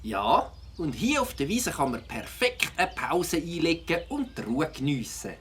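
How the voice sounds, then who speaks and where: A woman's voice: deep voice, big pitch swings, a little slow, a little hiss or hum. A woman voicing an enthusiastic, trusting young boy in a cartoon. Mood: playful. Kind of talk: cartoon character acting.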